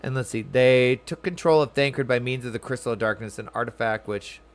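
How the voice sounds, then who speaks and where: Casual mid-pitched male game streamer. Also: a little fast. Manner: musing aloud, informal. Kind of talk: casual game commentary, chatting with viewers.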